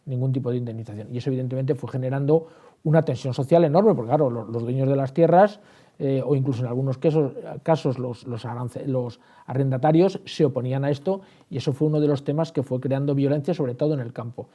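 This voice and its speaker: Fast, very dark-voiced male historian. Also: mid-range voice. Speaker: historian and writer, interview guest. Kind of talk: explanatory interview talk. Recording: dull, band-limited sound.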